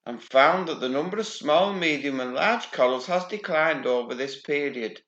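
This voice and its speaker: A man's voice: a little high, expressive, normal speed, a bit bright, roomy sound, muffled recording. A man reading news reports aloud and breaking in with dry, sardonic asides and casual swearing. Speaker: channel host.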